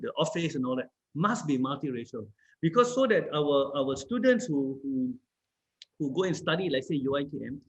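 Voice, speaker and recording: A man's voice: medium pitch, animated, normal speed, rough recording. A man with a Malaysian accent talking as an interview guest, explaining with examples and often repeating his phrases. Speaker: guest, company founder. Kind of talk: conversational interview discussion.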